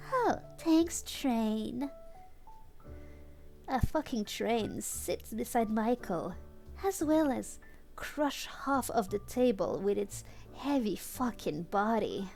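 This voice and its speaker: A woman's voice: on the high side, darkish tone. A woman streamer doing a comedic live reading of fan fiction, chatting to her audience in asides, with a giggly manner. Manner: giggly, often breaking into laughter while reading.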